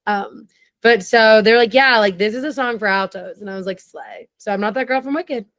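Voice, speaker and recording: Raspy, low-voiced young woman with vocal fry. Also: fairly bright tone, dry recording. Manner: chatty and enthusiastic, quick tangents. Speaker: podcast guest. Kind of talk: casual chat.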